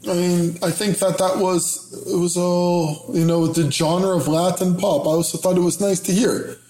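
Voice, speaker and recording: A man speaking as a podcast guest, measured and hesitant, with many fillers. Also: high-pitched, steady tone, mellow, slight background noise. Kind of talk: casual chat. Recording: echoey room.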